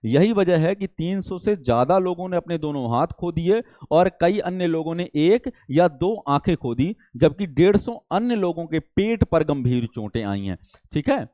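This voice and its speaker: A man's voice: a little high, animated, moderate pace, warm tone, no room sound. A man teaching a current affairs lesson in a didactic way, often checking in and posing rhetorical questions. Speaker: teacher for competitive exam students.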